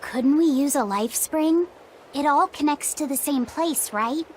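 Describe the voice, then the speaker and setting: A woman playing a gentle, earnest young boy in a fantasy video game, speaking with sincere curiosity. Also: sits high, a little slow, slight background noise. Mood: earnest, concerned. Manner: earnest, questioning.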